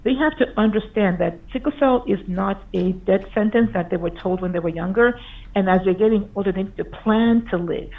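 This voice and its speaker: A woman's voice: medium pitch, brisk. Woman lecturing over a tinny call line. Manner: earnest, explanatory, with occasional restarts. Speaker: conference speaker, physician. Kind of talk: conference talk over slides. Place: remote video call presentation. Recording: tinny phone-like video call audio.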